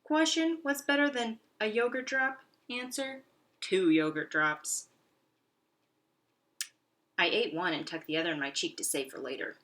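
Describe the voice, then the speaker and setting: A woman's voice: mid-range voice, unhurried, long pauses, a bit bright, close to an everyday voice. A woman voicing a self-satisfied little male pet hamster from a children's storybook, in a boastful, theatrical, exclamatory way. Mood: smug, delighted. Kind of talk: children's storybook read-aloud.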